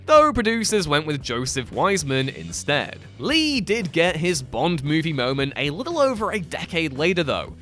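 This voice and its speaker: A man reading a scripted narration for a list video, brisk and wry, with an enthusiastic manner. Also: on the high side, sharp tone.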